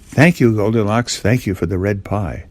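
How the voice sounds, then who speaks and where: A well-behaved, earnest little storybook boy, full of good manners read by an older man in his own lowish voice. The recording is dry. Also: normal speed, darkish tone, no echo, natural voice. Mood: polite, grateful. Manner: polite, earnest.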